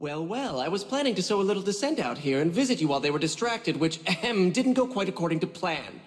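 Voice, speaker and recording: A smug anime game villain speaks in a high, very animated voice. He is theatrical and condescending, drawling with mockery. Long reverb surrounds him and music plays underneath. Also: fast. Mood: smug, playful. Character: smug, flippant male schemer, theatrical and condescending. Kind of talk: English anime game dub acting.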